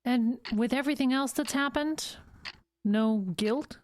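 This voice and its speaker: An actress playing a composed psychologist in an audio drama, asking measured, clinical questions. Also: female voice, high voice, darkish tone, no room sound. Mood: calm, probing. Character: composed, probing female psychologist questioning a patient.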